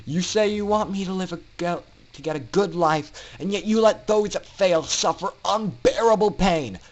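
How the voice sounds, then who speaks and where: A man's voice: high voice, moderate pace, dull audio, pitched above the performer's own voice, close to an everyday voice. A man voicing a defiant, seething young male pegasus student in a dramatic story reading. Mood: defiant, indignant. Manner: defiant, speaking through clenched teeth.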